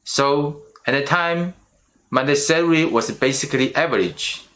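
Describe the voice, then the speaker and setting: Middle-aged guy w chinese accent, kinda high voice, talks real slow n careful. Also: fairly bright tone. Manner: slow and careful, with restarts on small words. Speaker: channel host. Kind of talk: personal vlog monologue.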